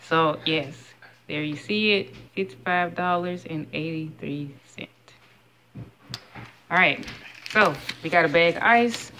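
A woman's voice: on the low side, slow pace, pushed and bright. Chatty woman listing grocery prices. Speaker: vlogger. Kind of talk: casual grocery haul show-and-tell. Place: home kitchen, indoors. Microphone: phone camera held close.

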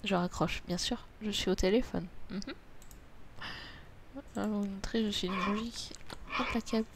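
A woman's voice: mid-range voice, fairly even intonation, normal speed, heavy background noise. Chatty French woman live-streaming. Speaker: game streamer. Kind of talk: casual live-stream commentary over an online game.

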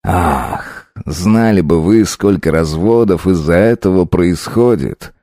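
A man voicing a suave club host in an audiobook, confiding and courteous in an unhurried way. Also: low-pitched, dark tone, dry recording, studio quality. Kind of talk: audiobook character narration.

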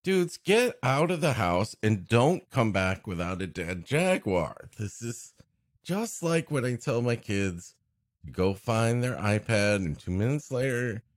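A man's voice: mid-range voice, sing-song, a little slow, no echo, studio quality. A man co-hosting a comedy podcast, riffing wryly in casual banter with mock exasperation. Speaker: podcast co-host. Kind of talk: comic banter, casual chat.

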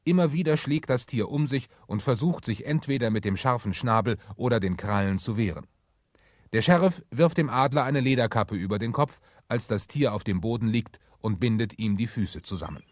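A man's voice: medium pitch, expressive, moderate pace, no room sound, studio quality. A man reading scripted narration as the narrator of a children's radio play.

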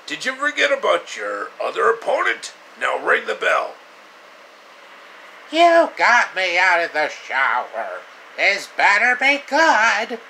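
A man's voice: sits high, wildly swooping pitch, slow, gravelly, pushed and bright, a little hiss or hum, voice pushed up, exaggerated voice, low-quality recording. A man voicing a gloating cartoon villain, taunting and theatrical. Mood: gloating menace. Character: loud, gloating cartoon villain out to crush a brat.

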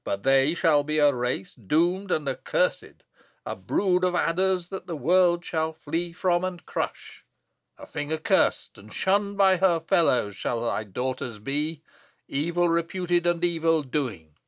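A stern abbot, acted by a male narrator declaims like a wrathful prophet in a gothic storybook. The words are loud and weighty, swinging widely in pitch, with solemn archaic phrasing. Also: dry recording.

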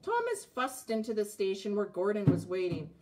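A woman in her forties reading a children's picture book aloud, with expressive phrasing and a slightly husky voice. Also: mid-range voice, normal speed, background noise. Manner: expressive, clearly phrased narration with asides about the pictures. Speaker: storybook reader. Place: indoors, child's playroom.

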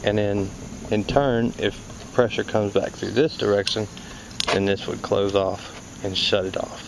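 A man's voice: fairly low pitch, flat delivery, unhurried, gravelly. A man explaining parts hands-on as a technician, in a casual, folksy way, thinking aloud as he goes. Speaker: HVAC technician demonstrating parts. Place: outdoors in a yard by a scrap pile. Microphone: handheld camera held by the speaker. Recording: noisy outdoor recording with insects.

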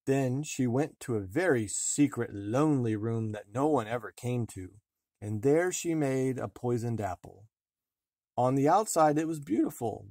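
A man reading a fairy tale aloud as an expressive storybook narrator. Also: medium pitch, no echo, studio quality. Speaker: storybook reader.

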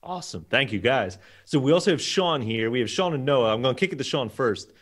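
A man in his thirties hosts a chat in a casual, upbeat way. His voice sits at an average pitch, picked up by a studio desk mic. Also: expressive, a little fast, a bit bright. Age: 30s. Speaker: show host. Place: small wood-panelled studio, indoors. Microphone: desk studio microphone.

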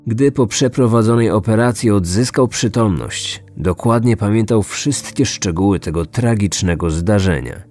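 A man with a low voice narrates a scripted true-crime story as a podcast host. Music plays beneath his narration. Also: normal speed, hardly any pauses, a little mellow.

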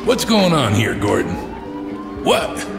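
A man playing a gruff, indignant police commissioner in an audio drama, blunt, exasperated and scornful. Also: on the low side, huge pitch swings, slow pace, exaggerated voice. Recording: echoey hall reverb, music behind.

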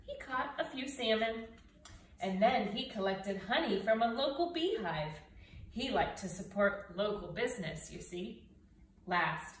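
A middle-aged woman reading a children's storybook aloud in an animated way, with dramatic emphasis. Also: a little high. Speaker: storybook reader. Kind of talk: expressive children's read-aloud. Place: indoors, living room by brick fireplace and window. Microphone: camera a few feet away. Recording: roomy, distant mic, faint noise.

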